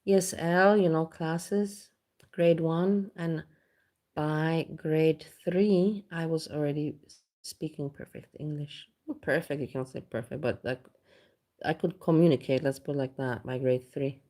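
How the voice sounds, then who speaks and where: Low-voiced Austrian woman, rambling candid vlog chat. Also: steady tone, unhurried, warm tone. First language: German. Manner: rambling, candid, swears casually. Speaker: vlogger. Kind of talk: casual chat.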